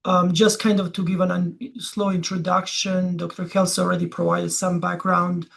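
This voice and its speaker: A middle-aged man with a Croatian accent gives an informal academic presentation in a halting, conversational way. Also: high voice, monotone, creaky, a bit bright. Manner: halting, conversational, often checks with "you know" and "yeah". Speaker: veterinary dermatology researcher giving a talk.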